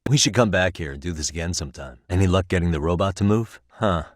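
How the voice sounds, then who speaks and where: Takayuki Yagami, deep, self-assured game hero. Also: male voice, fast, no room sound, clean studio sound. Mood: warm, curious. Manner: casual, self-assured, earnest. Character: Takayuki Yagami, cool, principled, easygoing male investigator. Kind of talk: English video game voice acting.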